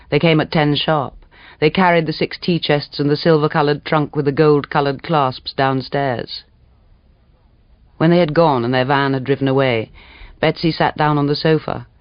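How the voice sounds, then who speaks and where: A man's voice: fairly high pitch, fairly even intonation, normal speed, dry recording. Man narrating a dramatic story, dry dull sound. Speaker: audiobook reader.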